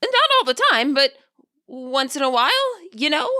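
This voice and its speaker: A woman's voice: high voice, big pitch swings, moderate pace, pushed and bright, voice pushed up, exaggerated voice. A woman voicing a nervous, cautious middle-aged male spirit who speaks hesitantly and apologetically in a story reading. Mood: tentative.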